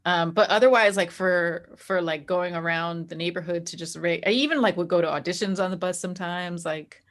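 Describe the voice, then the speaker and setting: An American woman chatting casually as an interview guest, animated and anecdotal. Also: lowish voice, fairly quick. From New Jersey, United States. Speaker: interview guest, actor and game master. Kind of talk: casual chat.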